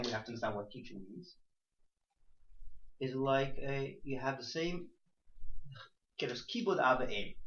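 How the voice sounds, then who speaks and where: A man's voice: medium pitch, fairly bright tone. A man giving an interactive class to a small group, asking rhetorical questions and repeating and rephrasing himself. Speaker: teacher leading a class. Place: indoor room with a few students. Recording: echoey room, dull muffled sound.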